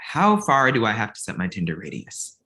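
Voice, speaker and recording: A man's voice: fairly low pitch, huge pitch swings, brisk, sharp tone, heavily acted. A man playing a glib, self-deprecating young filmmaker in a comedy table read, casual and wry.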